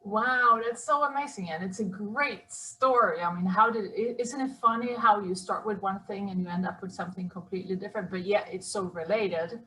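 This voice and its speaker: A woman's voice: mid-range voice, brisk, hardly any pauses, bright, forceful tone, recorded from a distance, a little hiss or hum, poor audio. A woman from Sweden with a Swedish accent, hosting an interview with upbeat enthusiasm. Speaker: host. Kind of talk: interview chat.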